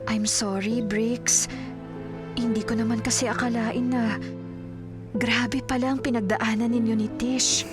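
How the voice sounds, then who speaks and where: A woman's voice: fairly high pitch, little pitch movement, measured pace, long pauses, close to an everyday voice. An actress playing a devoted, caring career woman in a Filipino radio drama, speaking earnestly with a Filipino accent. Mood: sympathetic. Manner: earnest, solicitous. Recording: loud music behind the voice.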